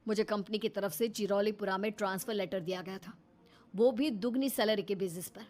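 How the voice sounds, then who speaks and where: A woman's voice: medium pitch, steady tone, brisk, a little mellow, soft background music, close to an everyday voice. A woman playing a fed-up young husband in a cartoon story, stern and reproachful. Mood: resolute. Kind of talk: animated Hindi story acting.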